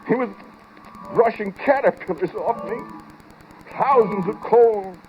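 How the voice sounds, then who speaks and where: An actor playing a haunted inventor who narrates a terrifying experiment in an old-time radio horror drama, halting and intense. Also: male voice, sits high, slight background noise. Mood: terrified, agitated. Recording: muffled old radio recording, music behind.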